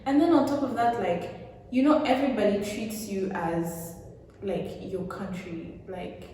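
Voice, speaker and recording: A young woman chatting casually in an animated way, acting out the exchanges she quotes. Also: mid-range voice, a little slow. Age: young adult. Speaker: podcast guest. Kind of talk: casual chat. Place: echoey indoor room. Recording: very echoey room, distant mic, faint noise.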